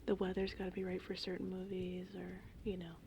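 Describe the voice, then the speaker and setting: A woman whispering in a casual, meandering, unhurried ASMR ramble, with a breathy, creaky voice. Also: on the low side, background noise. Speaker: ASMR host. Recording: steady rain and thunderstorm ambience. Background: gentle rain and thunder.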